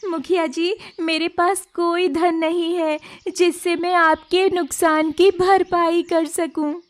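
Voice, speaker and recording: A woman's voice: very high-pitched, little pitch movement, slow pace. A woman voicing a meek, sorrowful young village woman in a cartoon story, deferential and pleading. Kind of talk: cartoon moral story voice acting.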